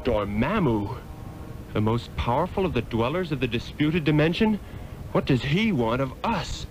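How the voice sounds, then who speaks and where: An actor playing an earnest, resolute sorcerer hero in a 1960s radio drama, with formal, declamatory delivery. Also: male voice, mid-range voice, animated, normal speed, slight background noise, natural voice. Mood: concerned. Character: earnest, resolute adult male sorcerer hero, devoted pupil.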